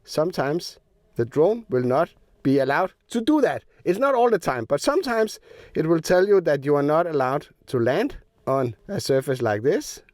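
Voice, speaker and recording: A man with a fairly high, animated voice walks through a drone demo step by step, dropping in small fillers as he goes. His voice is a little worn because he is sick. The sound is dry and close, with almost no room around it. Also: moderate pace, dry recording. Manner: plain step-by-step explaining with filler ums. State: sick, voice a bit rough. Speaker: drone tech reviewer. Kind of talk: hands-on product demo. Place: outdoors in the cold.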